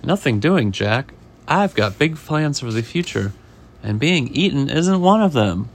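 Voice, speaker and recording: A man's voice: mid-range voice, big pitch swings, a little slow, pitched above the performer's own voice. A man voicing a defiant, wisecracking little storybook fish with big ambitions.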